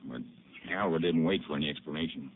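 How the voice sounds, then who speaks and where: A man's voice: extremely low pitch, fast. An actor playing a nervous, evasive male rancher with a hesitant, defensive country drawl in a 1950s radio drama. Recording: tinny lo-fi old radio broadcast with faint hiss.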